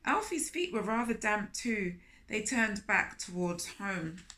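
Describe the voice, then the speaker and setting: A woman reading a picture book aloud to young children, animated and speaking to them directly with questions. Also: mid-range voice, slow pace. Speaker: children's storyteller, host of a storytime series.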